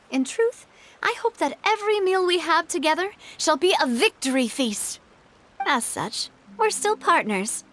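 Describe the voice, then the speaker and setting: A woman's voice: high voice, a bit bright, exaggerated voice. A woman playing a proud, determined young noblewoman in a video game, with pointed, polished, theatrical phrasing. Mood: warm.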